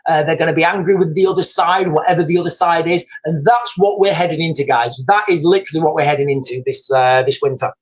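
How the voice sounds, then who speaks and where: A middle-aged man with a high voice hosts a casual livestream. He rambles and repeats phrases, and he keeps addressing his viewers as guys. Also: fast, hardly any pauses. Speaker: livestream host. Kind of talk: casual live commentary to viewers.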